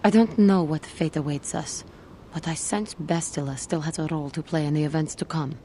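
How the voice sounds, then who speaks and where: An actress playing a calm, compassionate Jedi in a video game, with earnest, measured speech. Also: female voice, deep voice, a little hiss or hum, natural voice. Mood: calm, assured. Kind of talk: dramatic video game acting.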